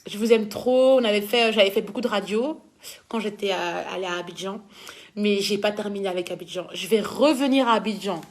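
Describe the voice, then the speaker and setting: A woman's voice: medium pitch, brisk. A young woman from Belgium with a Belgian French accent, chatting casually as she reads out and answers viewers' questions. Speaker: dancer answering fan questions.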